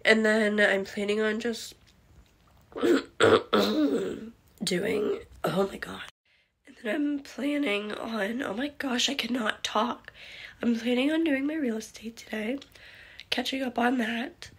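A woman's voice: fairly high pitch, takes it slowly, pauses often, a bit bright, husky. A young woman vlogging casually to her phone camera, chatty and rambling, with a voice worn by a cold. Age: young adult. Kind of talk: casual vlog chat to camera. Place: bedroom and car. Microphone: phone held at arm's length.

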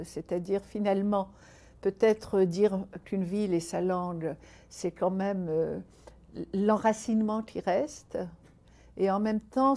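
A woman from Algeria whose mother tongue is Arabic talks through her thoughts in an indoor interview. Her voice is soft and dark in tone, and she picks each phrase slowly, pausing and starting over as she goes. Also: mid-range voice. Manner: thoughtful, measured, many pauses and restarts. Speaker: writer interviewed. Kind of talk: reflective interview talk. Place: indoor room by a window. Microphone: unseen, seated interview framing.

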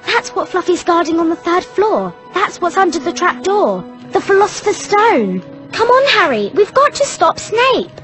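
Bossy bookish english schoolgirl, high lively voice, prim know-it-all in a game. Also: normal speed, faint music behind, exaggerated voice. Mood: earnest. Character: bossy, bookish young schoolgirl witch, eager and earnest. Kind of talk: video game cutscene acting.

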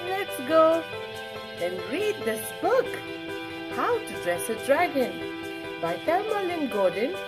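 High, animated woman reading to children. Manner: expressive, playful, drawn-out emphasis on key words. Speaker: children's storyteller. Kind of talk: animated picture-book read-aloud for children.

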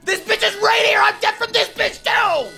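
A man's voice: very high voice, moderate pace, gravelly, bright, forceful tone, faint music behind. A man in his thirties reacting to a game in a profane, exasperated way. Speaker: gamer.

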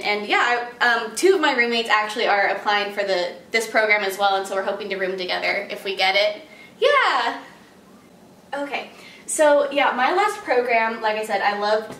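A woman's voice: a little high, a bit bright, slight background noise. Chatty young woman on a phone call. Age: young adult. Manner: upbeat and chatty, many fillers and little laughs. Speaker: job applicant in a phone interview. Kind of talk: answering interview questions on the phone. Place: home kitchen, indoors. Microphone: far camera on a tripod while she talks on a phone. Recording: roomy kitchen sound from a distant camera.

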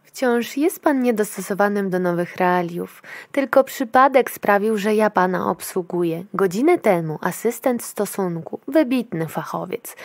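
An actress playing a brusque, officious clinic attendant in a dystopian future audio drama, clinical and commanding. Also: female voice, mid-range voice, a little mellow, dry recording, studio quality. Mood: mocking, scolding.

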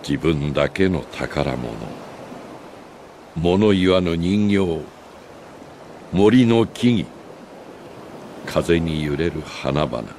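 An older man acting a solemn, remorseful old sage in a Japanese video game cutscene, speaking gravely and with deliberate pauses. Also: low-pitched, animated, darkish tone, a little hiss or hum, exaggerated voice. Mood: solemn, earnest. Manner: grave, measured, philosophical delivery with deliberate pauses.

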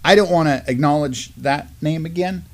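A man's voice: fairly high pitch, moderate pace. A middle-aged man with an American accent hosting a community news show in a casual, chatty style. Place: indoor studio, seated at a desk.

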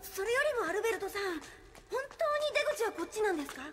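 Very high-pitched plucky girl. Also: expressive, fast talker. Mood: determined. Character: plucky, take-charge teenage girl adventurer. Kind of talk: anime audio drama acting. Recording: echoey, music underneath.

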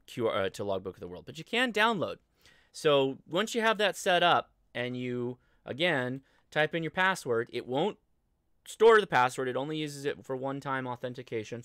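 American guy doing a laid-back live how-to, mid pitched voice, dry room, kinda halting as he thinks out loud. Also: clear, resonant tone, dry recording. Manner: conversational, halting, thinking aloud while demonstrating. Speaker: livestream host and ham radio instructor. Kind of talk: casual live tutorial with chat replies. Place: indoor home studio desk, livestream.

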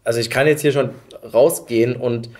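Male podcast host, average pitch, casual and easygoing, asks questions in hesitant phrasing. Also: steady tone, a little fast, darkish tone. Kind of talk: casual interview chat.

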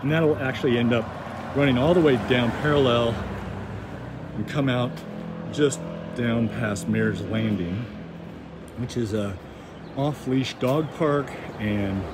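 A man narrating casually while walking through town outdoors, rambling and halting with many ums and restarts. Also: mid-range voice. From United States. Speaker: walking tour vlogger. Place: outdoors on small-town streets. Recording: outdoor street noise and wind. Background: street ambience, passing vehicles.

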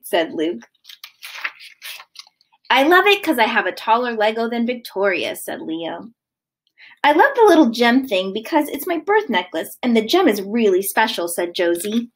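Female teacher, thirties, highish pitch, very animated sing-song read-aloud for young kids, recorded at home. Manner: expressive, sing-song storytelling delivery. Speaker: kindergarten teacher. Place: living room at home, indoors.

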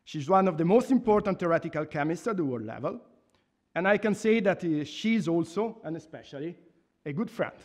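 A man's voice: a little high, animated. A man in his thirties from Italy with an Italian accent, earnestly reading a formal laudation from a lectern in a university hall. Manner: earnest, measured, with restarts and emphatic pauses. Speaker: professor giving a laudation speech. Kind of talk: formal speech read from notes. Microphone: microphone on a stand at a lectern.

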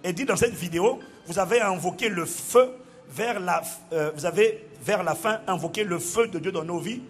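A middle-aged man, a pastor, preaching in a large church on a handheld mic and reading out testimonies with animated exclamations. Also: sits high, moderate pace, faint music behind. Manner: animated, exclaiming, bursts into shouting and laughter. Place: large church auditorium with a crowd.

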